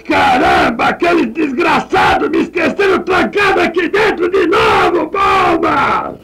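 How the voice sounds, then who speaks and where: An actor playing an enraged, exasperated monster shouting in a comic radio play. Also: male voice, very high voice, huge pitch swings, a little slow, over music, a crowd in the background, full character voice. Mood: furious, exasperated. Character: enraged misshapen monster left locked in alone.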